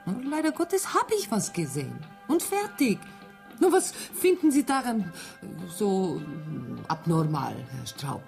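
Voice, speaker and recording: A woman's voice: mid-range voice, sing-song, a little slow, creaky, darkish tone, soft background music. An actress playing a defensive, curt émigré woman in a radio drama, speaking German with a Czech accent and non-native grammar. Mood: defensive, irritated. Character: wary, irritable Czech émigré woman, evasive witness.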